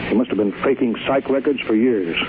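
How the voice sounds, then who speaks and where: An actor playing a steely, resolute chief engineer in a commanding, clipped old-time radio drama style. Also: male voice, mid-range voice, brisk, lightly acted. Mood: grave, resolved. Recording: muffled, noisy old radio recording.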